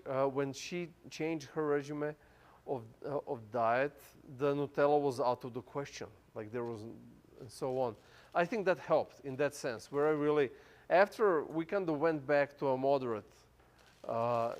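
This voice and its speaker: A man in his thirties with a non-native English accent, preaching a casual, chatty sermon as pastor, full of fillers and restarts. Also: medium pitch. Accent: foreign accent, non-native English. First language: not English. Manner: chatty, many fillers and restarts, laughs easily.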